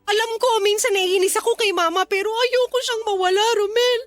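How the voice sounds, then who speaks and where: An actress playing a panicked, pleading young daughter of a hospital patient in a Tagalog radio drama. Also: female voice, extremely high pitch, steady tone, brisk, fairly bright tone, soft background music. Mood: anxious, pleading. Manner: anxious, pleading.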